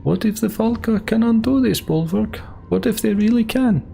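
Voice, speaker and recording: A man's voice: high voice, normal speed, creaky, dark tone, faint music behind, pitched above the performer's own voice. A man voicing a defiant woman warrior who pleads with and scolds an old comrade, raising his voice well above his own. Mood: pleading.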